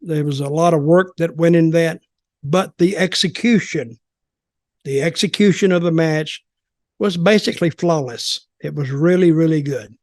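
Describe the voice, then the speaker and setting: A man's voice: on the high side, normal speed, no echo, studio quality. An older man in casual, rambling, opinionated talk about wrestling as a podcast commentator.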